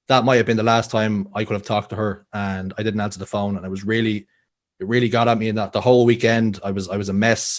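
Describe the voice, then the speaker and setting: A young Irish man with a Dublin accent, a guest telling personal stories earnestly with frequent restarts. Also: fairly low pitch. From Ireland. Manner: earnest storytelling, many restarts. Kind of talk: casual podcast interview.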